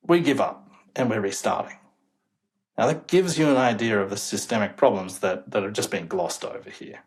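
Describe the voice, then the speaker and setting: A young man, a demographer guest in an interview, talking emphatically and sounding incredulous about the data, chuckling now and then. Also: lowish voice. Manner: emphatic, incredulous, chuckles while making points. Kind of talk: casual interview talk.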